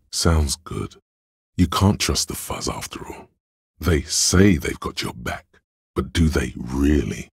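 A man voicing the cold, dry inner logic of a detective in a video game, measured and analytical, with a gravelly, husky delivery. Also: rumbling low, dry recording, exaggerated voice. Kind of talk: scripted video game voice acting, second-person narration.

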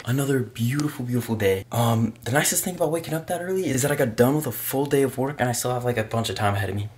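A young adult man chatting casually to his vlog audience in an informal, slangy way. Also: medium pitch. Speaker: vlogger. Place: rooms at home, car, outdoors.

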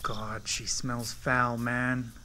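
A man's voice: medium pitch, level tone, very slow. A man acting a nervous park vet on a night call in a found-footage horror film, muttering observations and swearing under stress. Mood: uneasy, observant. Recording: loud noise, found-footage camcorder sound.

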